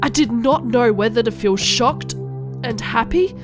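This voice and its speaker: A woman's voice: fairly high pitch, measured pace, over music. A woman narrating a cartoon story in the first person as a melodramatic, angsty goth teenage girl.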